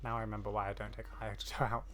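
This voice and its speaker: A woman's voice: extremely low pitch, flat delivery, very fast. A woman doing casual, rambling let's-play commentary as host of a gaming video. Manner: chatty, rambling.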